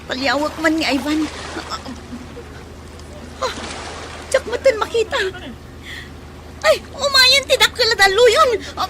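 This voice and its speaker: A woman's voice: very high voice, animated, unhurried, pauses often. A woman playing a panicked shipwreck survivor. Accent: Ilocano. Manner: panting, calling out. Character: frightened young woman stranded at sea after a ferry sinks. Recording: loud crowd and noise behind.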